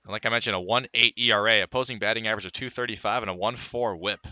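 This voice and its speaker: A man's voice: fairly low pitch, fairly even intonation, fast talker, bright, forceful tone, dry recording. A man calling baseball live as a radio play-by-play announcer, fluently reciting stats and lifting his delivery on each play. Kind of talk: live sports play-by-play commentary.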